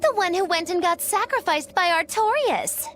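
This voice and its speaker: An eccentric witch girl from a video game, very high and swooping in pitch. She teases in cryptic riddles with theatrical flair. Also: animated, normal speed, a bit bright. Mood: needling. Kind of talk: English video game voice acting. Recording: game music under the voice.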